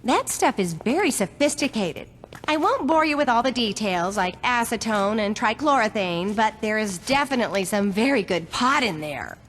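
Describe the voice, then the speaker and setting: Game role for an actress: perky suburban housewife, high bright-spirited lilt, animated and sweet, in a reverberant space. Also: female voice, normal speed, close to an everyday voice. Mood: helpful, chatty. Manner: chirpy, chatty, sweet. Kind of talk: video game acting. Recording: long reverb, faint background noise.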